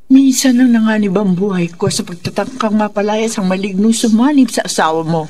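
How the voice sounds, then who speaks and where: An actor portrays a reluctant faith healer in a radio horror play. The voice sits at a middle pitch, measured and grave, carrying worry and caution. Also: a little mellow, a little hiss or hum, lightly acted. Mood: worried, reluctant. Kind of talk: radio drama acting.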